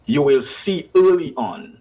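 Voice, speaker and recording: A man's voice: fairly high pitch, very animated, measured pace, mellow, husky. A man with a Dominican accent and a Dominican upbringing, speaking earnestly on a radio talk show as a writer and festival organizer. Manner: earnest, reflective, with restarts. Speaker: writer, festival committee member. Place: radio studio or remote call.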